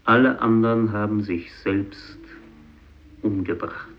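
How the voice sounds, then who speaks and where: An actor playing a guilt-ridden, doomed fisherman who narrates solemnly in a radio drama, with heavy pauses. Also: on the low side. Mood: bitter, haunted. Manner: solemn, deliberate, halting delivery with heavy pauses. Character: doomed, guilt-ridden Japanese fisherman, sole survivor of his village. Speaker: narrator and main character. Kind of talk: 1950s German radio drama monologue. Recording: dull vintage radio recording.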